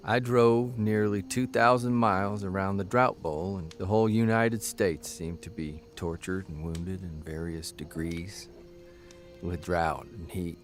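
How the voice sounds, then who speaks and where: An actor playing a weary, stubborn newspaper columnist in an audio drama, halting, irritable and sardonic. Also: male voice, low-pitched, fairly even intonation, soft background music. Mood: subdued, reflective. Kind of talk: naturalistic audio drama acting.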